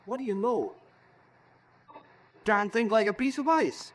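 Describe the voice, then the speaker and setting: A man acting in a 1940s radio drama as an excitable, jokey experimenter who talks in halting, colloquial announcements. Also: high voice, noisy background. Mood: amazed. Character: excitable amateur inventor's helper, jokey reluctant announcer.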